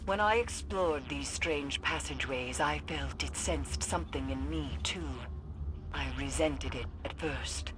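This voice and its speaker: Solemn, low-voiced, cryptic mystic. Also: female voice, noisy background. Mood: brooding. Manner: deliberate, grave, theatrical. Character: solemn, cryptic female mystic guide, brooding. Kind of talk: sci-fi game dialogue.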